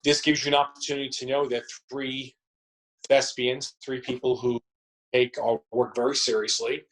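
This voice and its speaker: Male actor being interviewed, middle pitch, conversational, circles back over his phrases. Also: level tone, normal speed, rough recording. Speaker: actor and theatre company member, interview guest.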